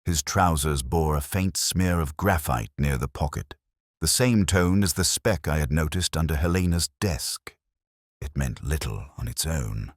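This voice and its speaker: A man reading a scripted first-person detective story as narrator, in a measured, solemn, literary manner. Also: rumbling low, slightly rough, no echo, clean studio sound.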